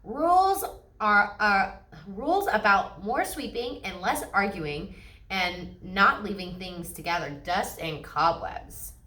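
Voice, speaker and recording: A woman's voice: medium pitch, animated, takes it slowly, bright, forceful tone, echoey room. A woman voicing an exasperated mother bear from a storybook, firm and scolding.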